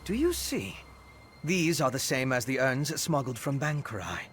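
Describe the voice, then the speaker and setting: A man's voice: mid-range voice, very animated, normal speed, a little hiss or hum, natural voice. A man voicing a nervous, scholarly vampire in a video game, speaking in a wry, courteous, articulate way. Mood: intent, curious. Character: polite, nervous, scholarly male vampire investigator. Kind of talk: video game character acting.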